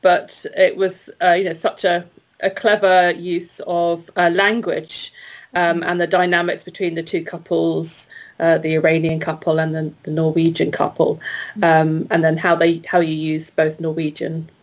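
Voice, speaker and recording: A woman's voice: deep voice, level tone, measured pace. A woman, a festival jury member, chatting enthusiastically about a film with frequent "um"s and laughs. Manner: enthusiastic, laughing, hesitant with fillers. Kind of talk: casual film discussion.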